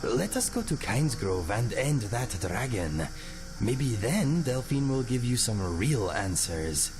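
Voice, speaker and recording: A man's voice: mid-range voice, sing-song, measured pace, over music. A man playing a loyal, courteous adventuring companion in a video game. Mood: resolute, wary.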